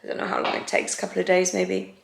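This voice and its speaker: A young Welsh mother chatting for a home vlog, lively and a little frantic, laughing often. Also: lowish voice, fast talker, gravelly. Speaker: vlogger, new mother. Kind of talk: casual home vlog chat. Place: home, indoors. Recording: echoey home room.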